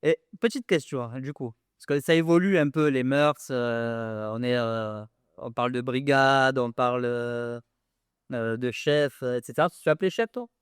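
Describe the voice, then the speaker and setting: A man's voice: medium pitch, moderate pace, smooth, no room sound, clean studio sound. A man hosting an interview, asking questions in an easygoing way. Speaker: interviewer, host. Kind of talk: casual interview.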